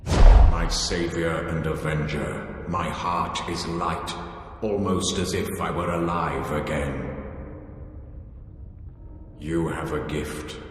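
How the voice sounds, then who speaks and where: A man playing a vain, vengeful ancient ghost lord in a video game, speaking in a grandiose, formal and deliberate manner. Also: rumbling low, fairly even intonation. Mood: grateful, proud. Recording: cavernous reverb, music underneath.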